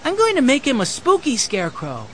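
A man voicing an eager, excitable six-year-old boy who exclaims his way through a children's audiobook. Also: very high-pitched, a little fast, slight background noise, voice pushed up, exaggerated voice.

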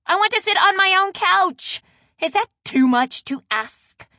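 An exasperated, bossy younger sister as played by a woman narrator in an audiobook. Her pitch sits high and leaps around as she complains loudly and emphatically. The sound is close and dry. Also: sing-song, normal speed, dry recording. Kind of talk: audiobook character dialogue.

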